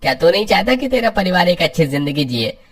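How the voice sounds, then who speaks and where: A man's voice: sits high, big pitch swings, fast talker, soft background music. A man voicing a prosperous friend who gives advice in a cartoon story. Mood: persuasive. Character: prosperous adult male friend, once a poor labourer, advising. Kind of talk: scripted cartoon story dialogue.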